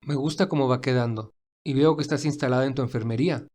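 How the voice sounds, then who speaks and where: A man playing a kind, busy, wealthy gentleman who speaks warmly and reassuringly in an audio fan drama. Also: medium pitch, fast talker, natural voice. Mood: calm, friendly. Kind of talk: acted audio fan fiction dialogue.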